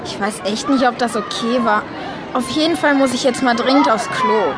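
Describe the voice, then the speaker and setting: A woman's voice: on the high side, moderate pace, over a noisy crowd, very noisy, close to an everyday voice. A woman playing a reluctant, unsure young schoolgirl in a children's audio drama, hesitant and put-upon. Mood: hesitant, awkward.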